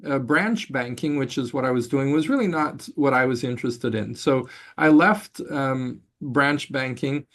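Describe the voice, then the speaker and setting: A man, born in Brazil, giving an online finance masterclass as a professor, speaking haltingly with many fillers and restarts. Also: medium pitch, a bit bright.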